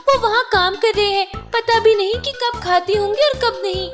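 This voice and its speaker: A worried little wife bird from a moral-story cartoon, played by a woman, pleads earnestly in a very high voice. She sounds scheming yet caring, with music underneath. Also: moderate pace. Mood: worried, pleading. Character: worried, scheming wife bird who misses her husband.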